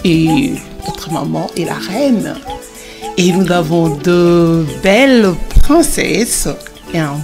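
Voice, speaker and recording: Encouraging mother teaching, a woman acting, over music. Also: medium pitch. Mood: delighted, encouraging. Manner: didactic, encouraging, repeats phrases for emphasis. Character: gentle, devout queen mother teaching her children. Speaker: mother teaching children about the Bible. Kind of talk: religious children's cartoon dialogue.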